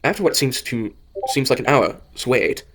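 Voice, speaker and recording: A man reading a scripted story as narrator, haltingly, often stumbling and rereading phrases. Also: mid-range voice, expressive, fairly bright tone. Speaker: narrator of an amateur audio drama.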